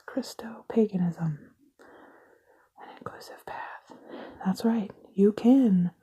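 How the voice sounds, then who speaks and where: A woman whispers in an extremely breathy, airy voice with a very dark, mellow tone, in the manner of an ASMR video. Her delivery is dry and sarcastic, full of self-mocking asides. She is recorded indoors at close range on a camera mic. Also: mid-range voice, low-quality recording. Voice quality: soft unvoiced whisper. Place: indoors at a table by candlelight.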